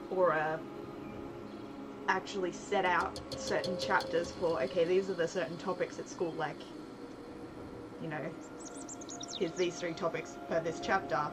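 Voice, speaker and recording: A woman hosting a casual livestream, thinking aloud in an informal, rambling way and often interrupting herself as she works. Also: fairly low pitch. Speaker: livestream host, writer and game developer. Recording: very noisy live stream audio.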